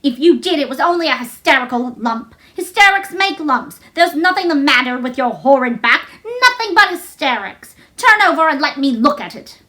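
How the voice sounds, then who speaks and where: This is a storybook little girl, acted out by a woman, bossy and fierce. The voice sits high and rings bright. The girl shouts commands with a stubborn, furious temper. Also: lively intonation, moderate pace, hardly any pauses. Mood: fierce, commanding.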